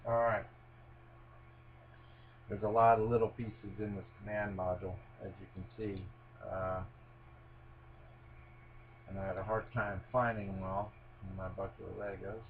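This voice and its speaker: A man's voice: on the low side, level tone, a little slow, pauses often, narrow, tinny audio, slight background noise. A middle-aged man rambling casually to camera as he builds a toy set at home, poking fun at his own mistakes. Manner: rambling, self-deprecating, many fillers and restarts. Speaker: hobbyist building a Lego set on video. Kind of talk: casual running commentary while building. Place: at home, on a table, with a cat nearby.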